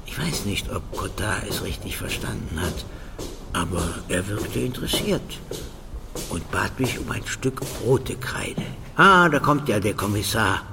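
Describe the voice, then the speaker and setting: A man's voice: fairly low pitch, very animated, unhurried, gravelly, very noisy, full character voice. An actor playing an earnest, modest, pedantic man in a radio drama, explaining everything carefully. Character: earnest, modest, pedantic male clerkish neighbour.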